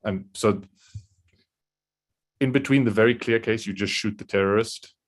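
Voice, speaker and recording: Guy with a really deep voice, kinda rambly, hedging as he explains stuff. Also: moderate pace. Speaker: livestream guest. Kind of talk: casual discussion.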